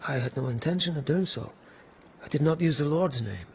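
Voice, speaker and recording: An actor playing a weary but dogged police lieutenant in a radio period mystery, speaking hesitantly and with earnest respect. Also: male voice, fairly high pitch, expressive, a little fast, darkish tone, slight background noise. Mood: deferential, uneasy.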